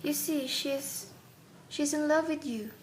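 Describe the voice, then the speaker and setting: An actress playing a gentle, youthful-looking married woman in a radio play, playful yet anxious. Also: sits high, slow. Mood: soft, teasing.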